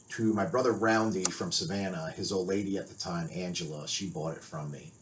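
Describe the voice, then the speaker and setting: A man's voice: low voice, a bit bright, a little hiss or hum. A middle-aged man, a biker and author, telling a road-trip story to camera in a rambling, blunt way and swearing constantly.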